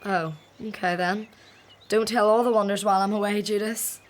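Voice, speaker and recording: An actress playing a gentle, caring woman in a biblical radio drama, polite, natural and quietly firm. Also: medium pitch, fairly even intonation, moderate pace, lightly acted. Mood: hospitable.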